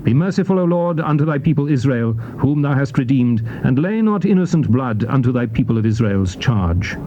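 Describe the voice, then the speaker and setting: A man's voice: medium pitch, animated, warm tone. Solemn male scripture reader on noisy old tape. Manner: declamatory, measured delivery of archaic English. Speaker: scripture narrator. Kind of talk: dramatized, solemn Bible reading. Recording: dull, noisy old cassette tape recording.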